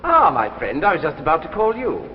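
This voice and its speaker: An actor playing a smooth, scheming middle-aged writer, coaxing and dismissive, in old radio drama style. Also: male voice, a little high, wildly swooping pitch, a little fast. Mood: feigned innocence. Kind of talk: 1950s radio drama acting. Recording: echoey, noisy, dull old radio recording.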